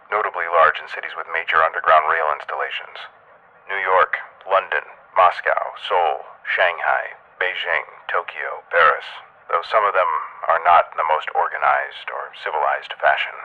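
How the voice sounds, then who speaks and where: Grave deep-voiced government briefer, acted by a man. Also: phone-quality sound, close to an everyday voice. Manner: formal, clinical, deliberate. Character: grave, measured male official briefing the president. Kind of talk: audio drama briefing monologue.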